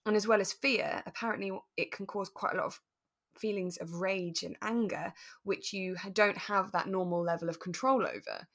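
A woman co-hosting a podcast, explaining things step by step in a relaxed, conversational way. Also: medium pitch, normal speed. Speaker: podcast co-host.

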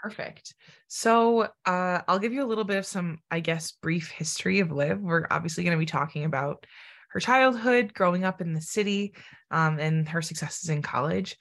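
A woman's voice: lowish voice, moderate pace, a bit bright. A young woman hosting a casual podcast and guiding the interview, easygoing and hedging her words often. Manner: easygoing, hedging with "kinda" and "I feel like".

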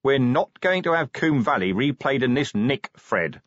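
A man plays a weary police commander in an audiobook. He is blunt, impatient and drily sardonic, with a husky edge and lively swings in pitch. The recording is close, dry and slightly dull. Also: normal speed, dry recording, close to an everyday voice. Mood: weary, stern. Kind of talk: audiobook character acting.

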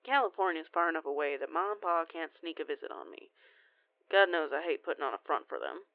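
A woman's voice: deep voice, very animated, brisk, dry recording. A woman playing a cheeky, rambling young female werewolf cousin in an audio roleplay phone call, sheepish, folksy and a bit foul-mouthed. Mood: frank, weary. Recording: tinny band-limited phone line, very dry.

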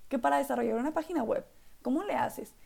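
Chatty young northern Mexican woman, gravelly. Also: high-pitched, fast talker, darkish tone. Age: young adult. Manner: chatty, rambling, lots of fillers like "literal" and "¿saben?". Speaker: podcast host. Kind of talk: casual solo podcast monologue.

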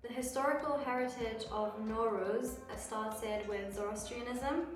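A young woman from England explaining traditions in a measured, unhurried way. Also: a little high. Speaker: interviewee. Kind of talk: casual explanation to camera. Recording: echoey, distant mic, music behind.

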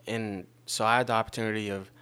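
A young American man telling his own story in casual conversation, haltingly, repeating phrases and often checking in with the listener. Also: lowish voice, flat delivery, pushed and bright, smooth. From Long Beach, California, United States. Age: young adult. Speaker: podcast guest.

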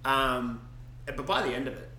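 A man's voice: medium pitch, normal speed, echoey room, slight background noise. A man co-hosting a casual podcast about tabletop role-playing, telling stories and laughing often. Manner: laughs often, relaxed storytelling. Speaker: podcast co-host. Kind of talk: casual chat.

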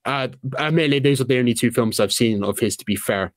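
A man's voice: medium pitch, quick pace, no echo, studio quality. A man hosting a casual film review podcast, chatty and self-deprecating. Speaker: podcast host.

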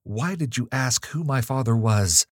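A man's voice: lowish voice, expressive, moderate pace, a little mellow, breathy edge, no echo, studio quality. A man voicing a frightened young noblewoman who pleads urgently and raises her voice in a gothic storybook reading. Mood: imploring. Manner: pleading, urgent, near screaming.

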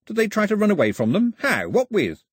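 A man performs a curious, literal-minded island boy from a storybook. The voice is lifted high with very mobile intonation, puzzled and sceptical, on a dry, close recording. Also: huge pitch swings, a little fast, husky, no room sound, full character voice. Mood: incredulous curiosity. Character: inquisitive, sceptical island boy full of questions. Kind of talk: storybook character dialogue.

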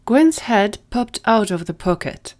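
A woman's voice: mid-range voice, expressive, a little slow, a little mellow. A woman narrator reading a scripted audio drama story.

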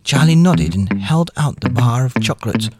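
A man reading a children's story as an expressive narrator. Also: mid-range voice, moderate pace, husky, dry recording, studio quality. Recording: music behind the voice.

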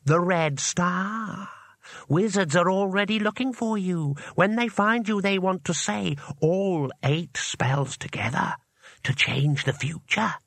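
A man voicing a slow, papery, ancient disembodied spell. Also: high voice, vocal fry, a bit bright, no echo, voice pushed up, full character voice. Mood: grave, explaining. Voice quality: rustling, papery. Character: ancient disembodied talking spells, pompous yet muddled.

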